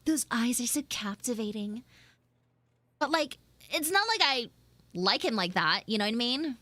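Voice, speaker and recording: A woman's voice: on the high side, expressive, normal speed, sharp tone, no echo. A woman voicing a flustered, shyly smitten tsundere schoolgirl in a live audio roleplay, hesitant and coyly defensive.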